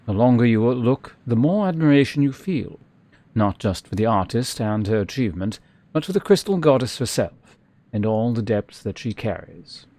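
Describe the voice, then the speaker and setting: A man giving casual game commentary as a streamer, musing in an unhurried, hesitant way and reading game text aloud. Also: medium pitch, very animated, dark tone. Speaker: live streamer playing a video game.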